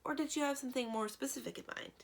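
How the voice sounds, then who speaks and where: A woman voicing a sensible, practical young storybook heroine, brisk and wry, with a matter-of-fact, decisive manner. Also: high voice, creaky, voice pushed up. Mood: dry.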